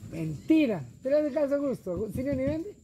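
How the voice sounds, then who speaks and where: A man's voice: extremely high pitch, sing-song, moderate pace. A man guiding a river boat tour, chatting casually and pointing things out in slangy speech. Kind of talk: casual guiding chat, Spanish and English. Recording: loud outdoor noise.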